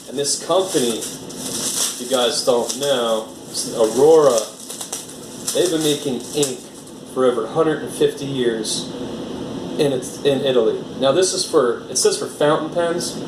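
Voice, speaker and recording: American man, relaxed rambly vlogger, off-mic in a reverberant room, steady AC hum behind. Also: mid-range voice, recorded from a distance. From United States. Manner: rambling, filler-heavy, easygoing. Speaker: art vlogger. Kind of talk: casual unboxing chat.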